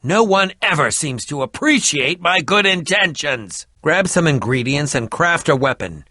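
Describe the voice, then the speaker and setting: An actor playing an eccentric middle-aged schemer in a video game, with a dramatic, haughty and defensive manner. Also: male voice, on the high side, big pitch swings, unhurried, sharp tone, no room sound, clean studio sound, heavily acted. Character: eccentric theatrical middle-aged male potion-brewing schemer. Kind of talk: video game character dialogue.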